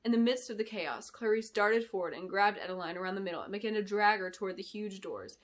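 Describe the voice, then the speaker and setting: A woman narrating a fantasy novel in an expressive, deliberate storytelling style, as an author reading aloud. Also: mid-range voice. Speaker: author reading her book. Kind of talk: dramatic scripted fantasy narration.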